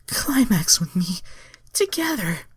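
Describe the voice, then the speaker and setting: An excitable young superheroine from a fan-made cartoon story, played by a man, pitched far up in falsetto. The voice is breathy and eager, and the sound is dry and close. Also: takes it slowly, no room sound, exaggerated voice. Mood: excited.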